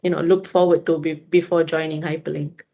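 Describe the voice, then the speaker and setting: A woman's voice: deep voice, little pitch movement, fairly quick, creaky, dark tone. A young woman from Malaysia, a student guest chatting casually on a podcast with fillers. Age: young adult. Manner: chatty, fillers like "you know".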